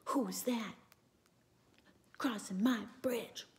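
A woman voicing a grumpy, hungry male troll in a bedtime storybook tale, making gruff whispered demands. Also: on the high side, drawn-out words, a little mellow, pitched above the performer's own voice.

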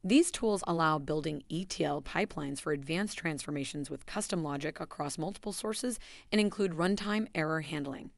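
A woman giving a scripted, even voice-over that walks through software steps. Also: low voice, moderate pace, creaky, no echo. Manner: even, instructional delivery. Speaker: software tutorial narrator.

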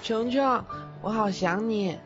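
A man's voice: high voice, takes it slowly. A man voicing a sweet, naive young ghost boy with a childlike manner in an audio drama. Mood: shy, affectionate. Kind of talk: Chinese audio drama acting. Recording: dull lo-fi audio with music.

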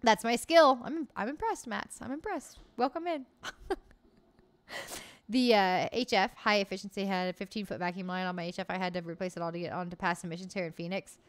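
A woman's voice: medium pitch, steady tone, brisk. A woman in her late twenties streaming from home, chatting casually with her viewers in a loose, cheerful way and swearing freely. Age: late 20s. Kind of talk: casual chat with live chat. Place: home room, indoors. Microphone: desk mic on arm, headset.

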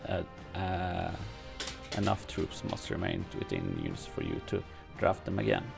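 A man with a low, gravelly voice reads game update notes and adds his own comments in English, which is not his first language. He talks in a halting way, full of fillers and restarts. Faint music plays behind him in his home streaming room. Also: huge pitch swings. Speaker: gaming streamer. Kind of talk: reading and commenting on game news.

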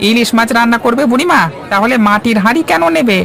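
A man's voice: extremely high pitch, fast talker, creaky, a bit bright, a faint crowd, a little hiss or hum, heavily acted. A man voicing a friendly, persuasive village potter in a cartoon, explaining things in a folksy way. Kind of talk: Bengali cartoon voice acting.

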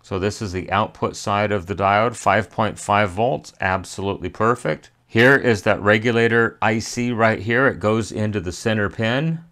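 A man's voice: fairly low pitch, moderate pace, few pauses, a bit bright. A man hosting a hands-on repair tutorial, talking methodically step by step and thinking aloud as he works. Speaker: repair channel host. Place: indoor electronics workbench.

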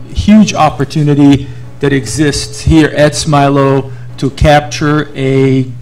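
Gravelly man hesitantly introducing a speaker. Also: a little high, rough recording. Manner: hesitant, many filler sounds. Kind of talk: formal introduction.

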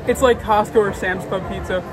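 A man's voice: high-pitched, fairly even intonation, brisk, over music, low-quality recording. A young man in a casual food-review vlog, chatty and frank, often saying "like" and "literally", filmed outdoors at a theme park. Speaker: vlogger.

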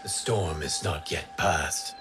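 An actor playing a calm, earnest male wind god in a game cutscene, with music under his voice. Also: extremely low pitch, slow pace. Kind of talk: video game cutscene acting.

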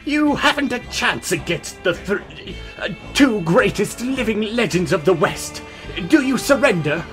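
A man voicing a self-important, excitable Old West sheriff in a theatrical fan comic dub. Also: high-pitched, measured pace, over music. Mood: excited.

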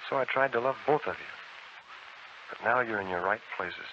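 An actor playing a boyish, affectionate young gentleman in a playful, sincere 1940s radio drama style. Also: on the low side, moderate pace, close to an everyday voice. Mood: sincere, tender. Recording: muffled, noisy 1940s radio recording.

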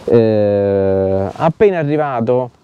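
A middle-aged man explaining fishing technique to camera, haltingly, searching for his words. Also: on the low side, lively intonation, clear, resonant tone, muffled recording. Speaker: fishing vlogger. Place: outdoors on a grassy lakeshore.

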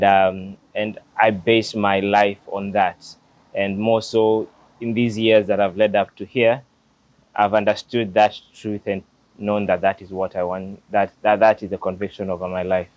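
A man's voice: fairly low pitch, no room sound, highs cut off. A young man in his late twenties with a Kenyan accent, telling his story to an interviewer in an earnest, reflective way, recorded outdoors on a lapel mic. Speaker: interview guest, lawyer. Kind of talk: relaxed personal storytelling. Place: outdoors beside a glass building.

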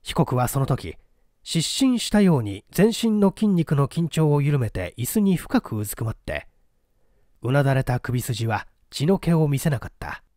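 A man narrating a literary mystery story from a script, with deliberate, dramatic storytelling delivery. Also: medium pitch, big pitch swings, a little mellow, no echo, clean studio sound. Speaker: audiobook narrator, stage actor.

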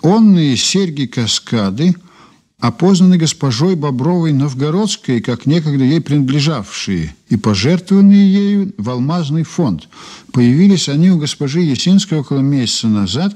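An actor playing a brisk, wryly intrigued male investigator in a Soviet radio drama, reading deliberately and expressively. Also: mid-range voice, expressive, dark tone, natural voice. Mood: measured, official.

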